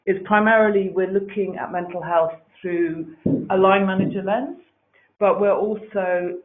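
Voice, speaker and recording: A woman's voice: mid-range voice, fairly even intonation, a little slow. A woman speaking as a wellbeing director, giving an earnest, fluent webinar presentation with frequent ums. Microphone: video call. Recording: tinny webinar audio.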